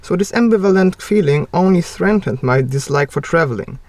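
A man's voice: fairly high pitch, lively intonation, moderate pace, a little mellow, dry recording, distant mic. A young man from Poland reading a scripted account in a plain, matter-of-fact way. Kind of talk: read-aloud scripted conversation.

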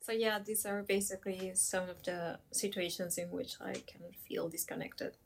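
A woman's voice: on the low side, fairly even intonation, moderate pace, slight background noise. A young woman from Peru chatting casually about her feelings, thinking aloud with frequent fillers. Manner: thoughtful, many fillers and hedges. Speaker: discussion participant. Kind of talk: casual conversation.

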